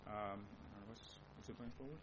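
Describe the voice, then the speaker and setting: Congolese man speaking haltingly. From Democratic Republic of Congo. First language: Lingala. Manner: halting, searching for words, many restarts. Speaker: missionary. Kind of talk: church missionary presentation. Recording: dull recording with steady low rumble.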